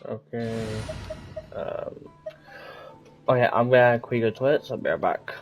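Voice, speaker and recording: A man giving casual, offhand gameplay commentary as a streamer, in a halting way. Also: medium pitch, highs cut off. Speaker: game streamer. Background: game music.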